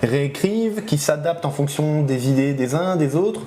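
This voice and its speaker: A man's voice: on the high side, expressive, normal speed, dark tone. A man interviewing casually, with informal, hesitant phrasing. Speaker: interviewer for local media. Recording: roomy echo, faint background noise.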